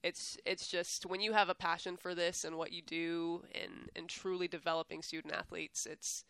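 A woman's voice: fairly low pitch, level tone, normal speed, creaky, fairly bright tone, clear, resonant tone. A woman in her thirties from San Antonio, talking as an interview guest in an earnest, upbeat way, laughing often and repeating words as she goes. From San Antonio, Texas. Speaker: guest, athletics staff director. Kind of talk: casual interview chat.

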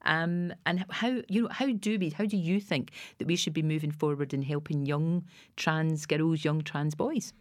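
A woman hosting a podcast, asking warmly encouraging questions with halting restarts. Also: deep voice, no echo, studio quality. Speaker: podcast host. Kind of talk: casual interview chat. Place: podcast studio.

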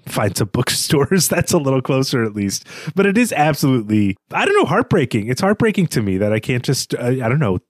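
A man co-hosting a podcast, discussing literature casually in a tentative, halting, self-effacing way. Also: medium pitch, no room sound. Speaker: podcast co-host.

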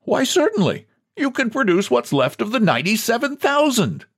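A man's voice: very high-pitched, huge pitch swings, moderate pace, a bit bright, slightly rough, no room sound, studio quality, pitched above the performer's own voice, full character voice. A man voicing a condescending bank president with an easy, patronizing, falsely sympathetic manner in a story reading. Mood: mock sympathetic.

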